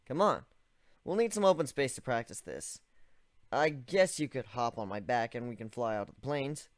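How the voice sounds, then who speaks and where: A man's voice: mid-range voice, lively intonation, normal speed, dry recording, natural voice. A man playing a cheery young griffin. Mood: friendly. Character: friendly young griffin, upbeat and eager.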